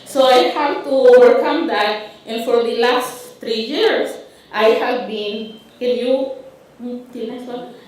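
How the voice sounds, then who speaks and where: A woman from Honduras with a Honduran Spanish accent giving a conference talk with slides, speaking haltingly with frequent restarts and fillers. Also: a little high, darkish tone. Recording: roomy hall, speaker far from mic.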